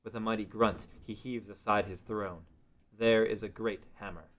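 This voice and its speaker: Low-pitched male story narrator. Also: fairly even intonation, normal speed, fairly bright tone. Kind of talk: scripted narration at a table read.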